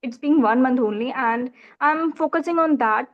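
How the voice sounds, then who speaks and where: A woman's voice: high-pitched, normal speed, phone-quality sound. A young woman from Uttar Pradesh with an Indian English accent, talking earnestly and politely as an English learner on a video call, often restarting her sentences. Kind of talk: casual video call conversation.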